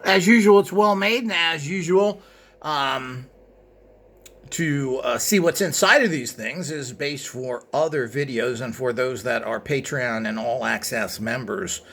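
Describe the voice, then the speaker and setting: A man's voice: mid-range voice, unhurried, a bit bright. A man presenting an informal show-and-tell in a hesitant, rambling way, recorded indoors behind a handheld camera.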